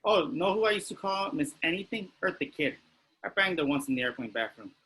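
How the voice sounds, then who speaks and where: A man's voice: on the high side, sing-song, a little fast, sharp tone, slight background noise, low-quality recording. A man playing a jealous, boastful older man with a crude streak in an amateur sitcom table read.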